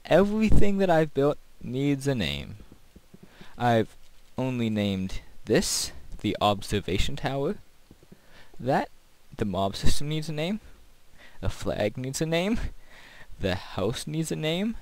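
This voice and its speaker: A man's voice: mid-range voice, big pitch swings, slow pace, no echo. A man giving casual gameplay commentary as a video host, rambling and thinking aloud.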